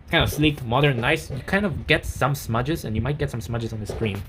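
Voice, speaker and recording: A man talks through a product review in a casual, unscripted way. His voice sits at an average male pitch, and he rambles, stopping and restarting as he corrects himself. The recording carries some background noise. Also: fairly bright tone. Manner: halting, rambling, frequent self-corrections.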